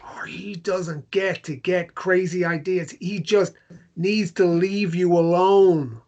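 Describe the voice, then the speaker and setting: A man's voice: high voice, steady tone, takes it slowly, a little mellow, highs cut off. A man acting a protective, insistent character in a naturalistic drama table read, bluntly probing and stumbling into repetitions. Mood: angry, insistent.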